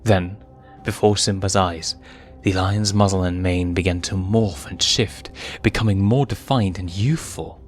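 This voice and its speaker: A man's voice: sits low, steady tone, a little slow, hardly any pauses. A man reading a story aloud as a narrator, in a dramatic, scripted storytelling style. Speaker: fan fiction reader and narrator. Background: music.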